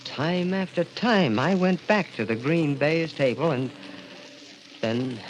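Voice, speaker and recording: An actor playing a haunted, thrill-addicted man who tells his own dark story in intense, confessional 1940s radio style. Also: a little high, animated, slow, a little hiss or hum. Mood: bitter, compelled. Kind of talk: 1940s radio acting, first-person narration. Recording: dull old radio recording. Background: dramatic music.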